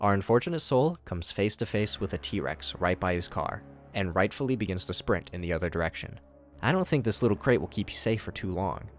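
A man's voice: low voice, lively intonation, a little fast, faint music behind. A man giving a scripted narration that recaps horror footage in a casual, wry way. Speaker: narrator of a video commentary.

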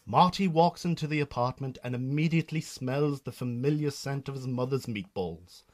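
Male narrator, Northern Irish accent, medium pitch, scripted radio play storytelling. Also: moderate pace.

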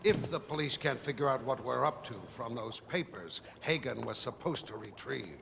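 An actor playing a ruthless, imperious businessman villain in an animated series, with pompous, formal diction. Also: medium pitch, normal speed, lightly acted. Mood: cold, displeased. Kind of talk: dramatic animated series acting. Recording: clearly noisy, hissy background.

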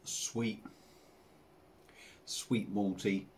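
A man in his forties with an English accent, chatting casually to camera as he reviews a beer at his home bar, rambling and relaxed with lots of fillers. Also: on the low side, extremely slow, slight background noise. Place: home bar room with dartboard and signs.

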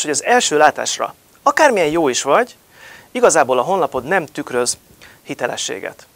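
A man's voice: on the high side, a bit bright, no room sound. A man in his thirties giving a promotional talk to camera, emphatic and full of rhetorical questions, recorded on a lapel mic in a studio. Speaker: marketing speaker.

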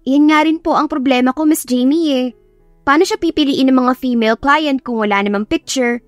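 A woman's voice: high-pitched, fairly quick, no echo, voice pushed up, close to an everyday voice. A woman voicing a respectful, earnest young female secretary with a Filipino accent and a polite, helpful manner. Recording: music behind the voice.

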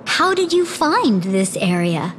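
A woman's voice: medium pitch, sing-song, slow, slight background noise. An actress playing a cautious young female rabbit-folk villager. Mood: wary. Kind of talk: video game cutscene acting.

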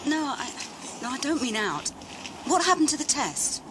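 A woman's voice: sits high, sing-song, moderate pace, noisy background. A woman playing a fervent, easily indignant believer in an audio drama. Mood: dazed. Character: Helen, a fervent, indignant female earth-faith believer. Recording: loud music behind.

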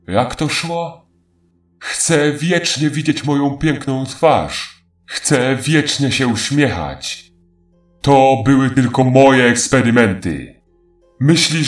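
A man playing a taunting, menacing ancient faceless demon in a horror audio drama. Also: mid-range voice. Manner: mocking, menacing, theatrical. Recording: echoing voice over faint music.

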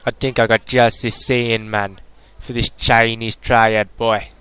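A young man from Britain rambles through his game commentary with a bright, forward voice. He jokes constantly and leans on a put-on Jamaican lilt that keeps fading in and out. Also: mid-range voice, little pitch movement, slow pace, smooth. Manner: joking, rambling, puts on a mock Jamaican accent. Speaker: gameplay commentator. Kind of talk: casual let's-play commentary.

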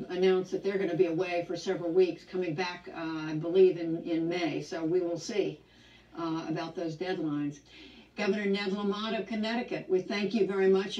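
A woman news anchor asks her questions in an even, formal tone, with a dark, mellow voice. The sound is dull and roomy, picked up from a speaker some distance from the microphone. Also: lowish voice, a little fast. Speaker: news interviewer. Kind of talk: broadcast interview. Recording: dull roomy audio replayed from far off.

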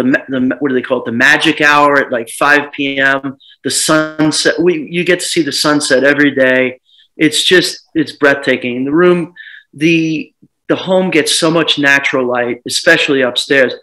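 Bright-voiced man rambling on a video call. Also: fairly high pitch, moderate pace, rough recording. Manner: rambling, restarts a lot, animated. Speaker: home seller. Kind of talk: casual video call chat. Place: dim living room with sofa. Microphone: laptop webcam on video call.

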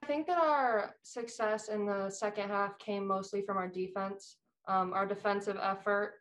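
A woman basketball player giving measured answers in a post-game interview. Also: mid-range voice, steady tone, a bit bright, low-quality recording.

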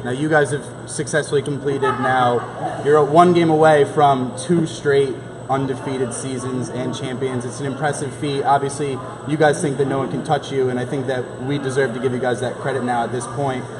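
A young man with a mid-pitched voice hosts a postgame sports show. He delivers commentary and questions in a big indoor gym, with clear crowd noise behind him. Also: fairly even intonation, moderate pace, poor audio. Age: young adult. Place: large indoor basketball gym.

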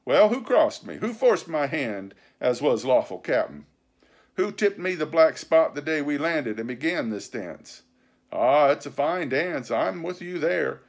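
A scornful, commanding pirate captain from a sea-adventure storybook. The voice rides high and swoops widely, full of sly sarcasm and blustering, salty sailor talk. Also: male voice, very animated, normal speed. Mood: indignant, blustering. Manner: rough nautical slang, sarcastic, blustering speechifying.

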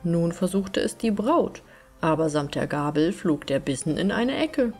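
A woman's voice: on the low side, lively intonation, unhurried, darkish tone, faint music behind. A woman narrating a fairytale audiobook expressively, with careful phrasing.

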